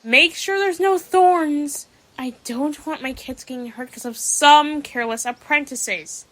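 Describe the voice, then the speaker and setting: A fussy mother she-cat played by a woman, high-pitched and full of contempt. She is scornful and demanding, in amateur audio drama style. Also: a little slow, fairly bright tone. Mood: withering, impatient.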